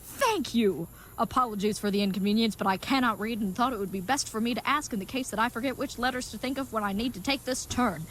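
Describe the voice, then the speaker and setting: A woman's voice: on the high side, fast talker, few pauses, fairly bright tone, a little hiss or hum, close to an everyday voice. A woman playing an earnest, good-hearted but dim-witted knightly leathersmith in a comedic audio drama, speaking in florid, mock-archaic phrases. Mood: grateful, courteous.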